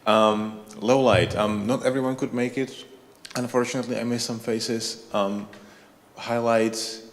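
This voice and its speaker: A man from the Republic, sharing reflections at a conference with halting, pause-filled speech. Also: mid-range voice, fairly even intonation, a little hiss or hum. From Czech Republic. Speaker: conference attendee. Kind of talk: casual reflection in a group share. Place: conference hall. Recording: reverberant hall.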